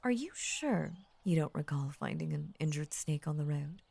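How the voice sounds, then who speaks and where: A woman voicing an ancient, possessive lamia in intimate roleplay audio, tender and formal, with a breathy husky voice that often drops into a whisper. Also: deep voice, huge pitch swings, exaggerated voice. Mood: wistful, obsessive. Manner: slow, tender, formal, hushed obsessive devotion. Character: ancient possessive lamia, lovestruck, devoted and murderous.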